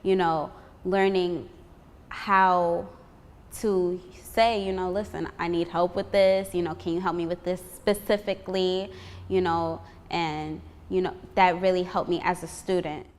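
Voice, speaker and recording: A high school student girl talking about learning to ask for help, dropping in "you know" often. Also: measured pace, in a large hall, slight background noise. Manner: steady, fills with "you know". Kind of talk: interview answer.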